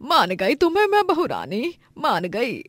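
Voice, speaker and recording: A woman's voice: high-pitched, wildly swooping pitch, measured pace, fairly bright tone, dry recording, studio quality, voice pushed up, full character voice. Woman playing an emphatic older mother-in-law. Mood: impressed. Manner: emphatic, exclamatory. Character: bossy older mother-in-law, exclaiming and teasing.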